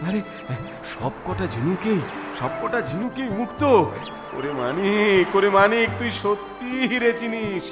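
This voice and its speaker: A man voicing a stingy, excitable village master in a cartoon story, grumbling and repeating his words. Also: sits high, unhurried, music in the background. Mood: amazed. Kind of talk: Bengali cartoon story acting.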